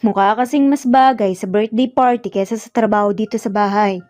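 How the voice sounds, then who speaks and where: A woman's voice: mid-range voice, fairly quick, a little mellow, no echo, faint music behind, close to an everyday voice. A Filipino woman voicing a grumpy, aloof male boss with curt, dry sarcasm in a story. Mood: dry teasing.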